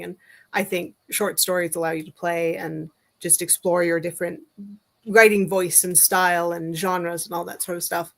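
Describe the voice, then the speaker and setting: A woman's voice: fairly low pitch, fairly bright tone. A woman speaking as a writer guest in a casual interview, explaining her advice in a thoughtful, informal way.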